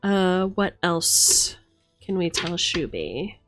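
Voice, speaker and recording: A woman's voice: lowish voice, big pitch swings, slow pace, a bit bright. A woman giving a casual, chatty tarot reading on a live stream, musing aloud in informal language. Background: background music.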